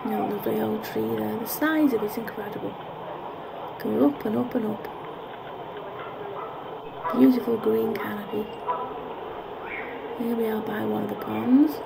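A woman giving a casual, musing narration of a park walk, correcting herself as she goes, recorded outdoors on a handheld camera. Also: medium pitch. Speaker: vlogger. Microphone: handheld camera's built-in mic. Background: clear park ambience noise.

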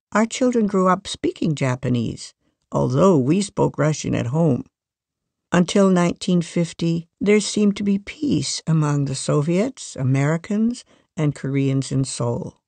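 A gravelly voice reads a first-person life story at a measured, even pace. The sound is close and dry, with no room around it. The delivery stays scripted and steady throughout. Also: medium pitch, dry recording. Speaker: reader of a magazine life story.

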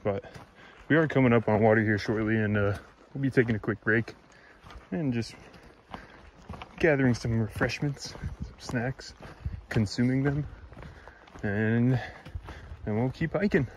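A young man from Florida, chatting casually to his phone camera while hiking a forest trail, upbeat and filling pauses with "uh". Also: mid-range voice. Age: young adult. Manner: upbeat, chatty, thinks aloud with fillers. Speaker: hiker vlogger. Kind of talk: casual vlog narration. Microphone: phone at arm's length. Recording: faint outdoor noise.

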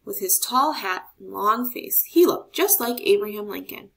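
A woman narrating a children's picture book aloud in an expressive storytelling style. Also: mid-range voice, moderate pace, creaky. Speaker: storybook reader.

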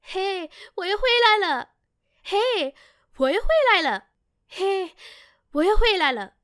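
High-pitched, lively, woman acting a kindly young female scientist, bubbly, game catchphrases. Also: unhurried, dry recording, clean studio sound, exaggerated voice. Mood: playful, excited. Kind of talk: fan video game character audition.

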